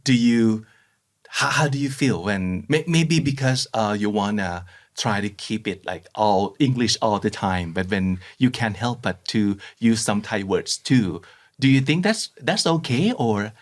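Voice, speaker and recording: A woman interviewing a guest in casual chat, attentive and full of soft backchannels. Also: extremely low pitch, lively intonation, unhurried.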